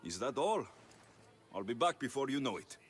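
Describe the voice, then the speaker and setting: An actor playing a confident, dry-witted ancient Greek mercenary in a video game, direct and wry. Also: male voice, medium pitch, sing-song, normal speed, close to an everyday voice. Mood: assured. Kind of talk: video game dialogue acting.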